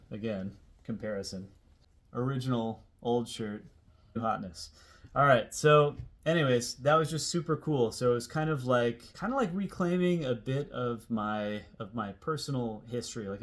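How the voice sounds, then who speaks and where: An American man in his forties telling a personal story casually to camera, rambling informally and leaning on key words for emphasis. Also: mid-range voice. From Seattle area, United States. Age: 40s.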